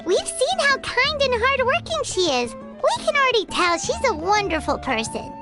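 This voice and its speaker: A woman voicing a tiny, perky, childlike fairy companion in a video game, squeaky and encouraging. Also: huge pitch swings, normal speed, full character voice. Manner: perky, speaks of herself in third person. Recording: music behind the voice. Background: music.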